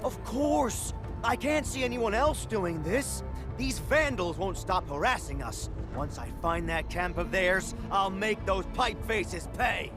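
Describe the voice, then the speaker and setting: An actor playing a hot-headed, indignant crewman in a video game, excitable and vehement. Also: high voice, takes it slowly, music in the background. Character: hot-headed, indignant male crew member.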